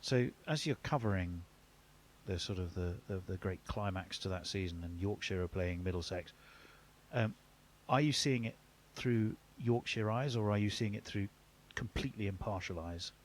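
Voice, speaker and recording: A man's voice: fairly low pitch, moderate pace, a little hiss or hum. A man presenting cricket radio, interviewing a guest in a hesitant way with many restarts. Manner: hesitant, stumbling over restarts. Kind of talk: conversational interview.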